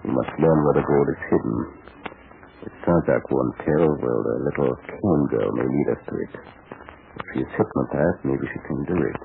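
An actor playing an imperious, scheming baron in an old radio serial, speaking in a clipped, formal, commanding way. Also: male voice, rumbling low, normal speed, vocal fry. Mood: watchful, scheming. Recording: tinny, noisy old radio recording.

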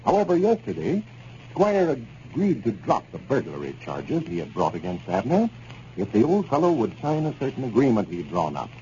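Mellow, lively American radio announcer man. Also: medium pitch, moderate pace. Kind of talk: 1930s radio announcing, scripted sponsor read and recap. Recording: dull, noisy 1930s radio recording.

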